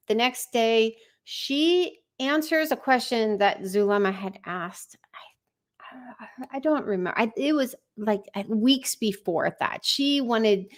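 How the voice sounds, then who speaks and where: A woman hosting a true crime live stream, giving informal, conversational commentary with wry asides. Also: mid-range voice. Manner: conversational, wry asides, acts out read messages.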